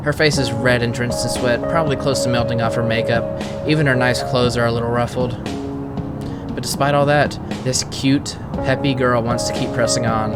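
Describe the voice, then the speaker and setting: A man reads visual novel narration aloud as a game streamer. His pitch is average, and he slips in casual reactions. Very loud music runs behind his voice.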